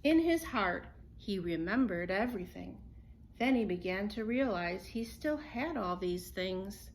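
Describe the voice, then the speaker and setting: A woman storyteller reading a children's picture book aloud in an expressive, unhurried way. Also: mid-range voice, low-quality recording.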